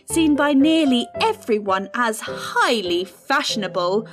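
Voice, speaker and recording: A prim, commanding storyteller narrates a literary tale for radio. The voice sits fairly high and moves slowly and deliberately, with dramatic weight, over clearly audible music. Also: female voice. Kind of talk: theatrical scripted narration.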